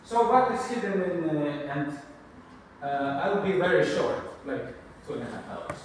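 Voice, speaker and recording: A middle-aged man with a Greek accent giving an unscripted university conference talk, halting with many fillers and restarts and a dry self-deprecating humor. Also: a little high, sing-song, a little hiss or hum. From Greece. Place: large university lecture hall. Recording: echoey lecture hall recorded from far off.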